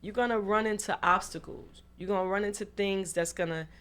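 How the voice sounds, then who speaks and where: A middle-aged American woman with a mid-pitched voice, talking casually as a guest on a radio show. She advises with emphasis, circling back and restating each point like a lesson. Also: steady tone, fairly quick, a bit bright, smooth. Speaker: radio guest, mother of the host. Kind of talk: casual motivational advice.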